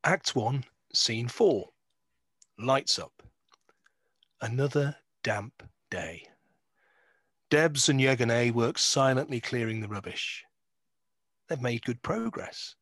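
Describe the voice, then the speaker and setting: A man's voice: medium pitch, lively intonation, slow, pauses often, fairly bright tone, slightly rough, no echo, studio quality, full character voice. A man narrating an audio play, reading out scripted stage directions.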